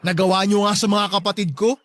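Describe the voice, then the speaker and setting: A man's voice: sits high, steady tone, quick pace, a bit bright. A young man playing a resentful, neglected grown son in a radio drama, plaintive and reproachful but respectful. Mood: hurt, reproachful. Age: young adult. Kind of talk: emotional radio drama acting.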